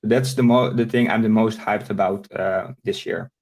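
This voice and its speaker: A man's voice: on the low side, steady tone, gravelly, a little mellow. A man from the Netherlands, Dutch his first language, explaining things as an interview guest with frequent hesitant fillers. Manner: explanatory, with many filler pauses. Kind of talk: casual interview.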